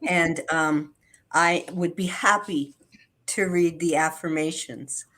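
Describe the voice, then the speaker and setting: A woman's voice: sits low, fairly even intonation, slow, pushed and bright. A woman chatting casually as a guest reader at a spiritual service. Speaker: affirmation reader.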